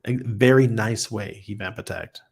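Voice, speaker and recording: Livestream host, male, low gravelly voice with some huskiness, blunt and chatty, laughs easily. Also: normal speed, darkish tone. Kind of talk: casual livestream chat, reading viewer comments.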